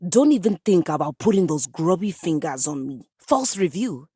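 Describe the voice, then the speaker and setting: A woman's voice: on the low side, very animated, normal speed, mellow, breathy edge, dry recording, studio quality. A woman playing a passionate piano come to life in a video game, a haughty, dramatic composer with florid, formal diction. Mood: wounded, haughty. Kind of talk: video game character voice acting.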